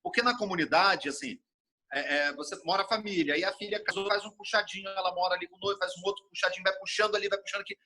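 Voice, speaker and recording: A middle-aged man from Brasília, a TV journalist telling stories in a casual interview, expansive and animated, acting out quoted speech. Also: high-pitched, rapid-fire, a little hiss or hum. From Brasília, Brazil.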